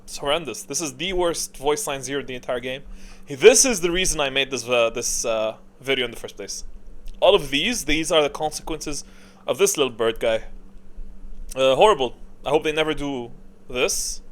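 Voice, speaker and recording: A man's voice: mid-range voice, moderate pace, fairly bright tone. A man giving casual, opinionated ranking commentary as a gaming video creator, rambling along in emphatic, slangy language.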